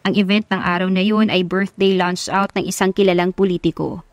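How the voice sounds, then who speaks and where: A woman's voice: lowish voice, little pitch movement, a little fast, no echo. A woman reading scripted narration of a love story into a close microphone.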